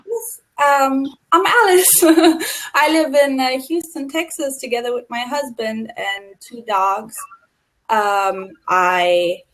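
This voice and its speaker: A woman with a German accent, a guest introducing herself on a video call, soft and hesitant with many fillers. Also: a little high, slow, poor audio. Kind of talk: personal introduction on a video chat.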